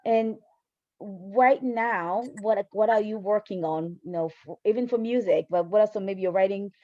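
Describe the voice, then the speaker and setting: A woman's voice: medium pitch, moderate pace, no echo. A woman hosting a casual interview in an encouraging, affirming way, on a somewhat muffled recording. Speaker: interview host.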